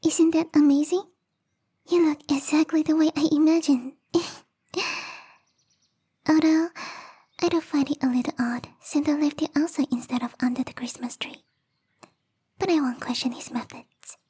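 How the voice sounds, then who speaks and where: A woman voicing a bubbly, lovestruck young female snow spirit in a soft, breathy ASMR roleplay whisper, coy and rambling. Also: high-pitched, steady tone, moderate pace, pauses often, natural voice. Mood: delighted, doting.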